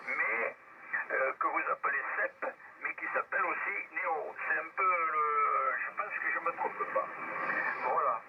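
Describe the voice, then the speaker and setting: Gravelly man through heavy radio static. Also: on the high side. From southwest France. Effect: amateur radio. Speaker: amateur radio operator. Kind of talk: casual ham radio check-in. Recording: very noisy, muffled shortwave radio transmission.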